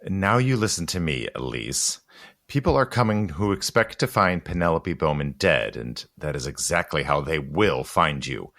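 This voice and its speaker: A deep-voiced, guarded gentleman, acted by a man for an audio drama. He is stern and protective, swinging widely in pitch and pausing for dramatic weight. He sounds intense and urgent, like someone who keeps dangerous secrets. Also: expressive, exaggerated voice. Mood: stern, suspicious.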